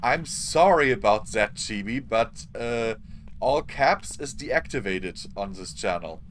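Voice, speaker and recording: A man streaming a game live, hoarse and losing his voice, chatting apologetically to his viewers. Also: fairly low pitch, expressive, slow, slight background noise. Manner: apologetic, chatty, talks to chat. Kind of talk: live gameplay commentary.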